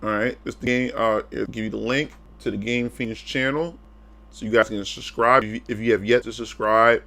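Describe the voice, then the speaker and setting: A man's voice: medium pitch, steady tone, moderate pace, bright, forceful tone. A man streaming live in a casual, rambling chat, often restarting and repeating words as he talks straight to his viewers. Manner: laid-back, addresses viewers directly.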